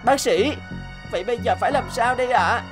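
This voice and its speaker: A woman voicing a gentle, earnest young boy, a protective older brother, in a cartoon dub. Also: fairly high pitch, a little fast, over music, natural voice. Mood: pleading, worried. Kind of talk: dubbed cartoon dialogue.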